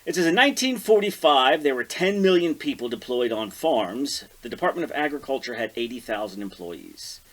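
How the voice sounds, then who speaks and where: A man's voice: mid-range voice, lively intonation, brisk, hardly any pauses, a bit bright. An American man co-hosting a podcast, chatting casually over a beer. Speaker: podcast co-host.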